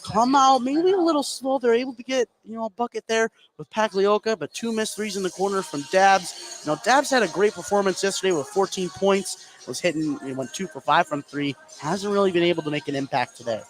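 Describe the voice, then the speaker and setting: A man's voice: high-pitched, fairly quick, few pauses. A man doing live play-by-play commentary of a basketball game for radio, courtside in a gym. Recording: clearly noisy live gym ambience.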